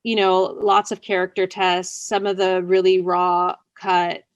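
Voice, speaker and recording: A woman's voice: on the low side, level tone, measured pace, no room sound. A woman writer speaking casually as an interview guest, chatty, often laughing as she talks. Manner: chatty, laughs while talking.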